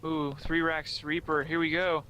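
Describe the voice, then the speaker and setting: A young man from Pennsylvania giving steady, explanatory play-by-play commentary on a strategy game. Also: a little high, measured pace. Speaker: play-by-play caster. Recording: faint background noise.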